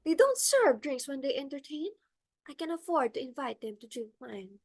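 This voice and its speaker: A woman's voice: sits high, sing-song, moderate pace. A woman playing a haughty, fretful society hostess in a stage comedy, exclaiming imperiously and melodramatically. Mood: flustered, haughty. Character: haughty, fretful society matron hosting a dinner party. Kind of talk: theatrical stage comedy acting.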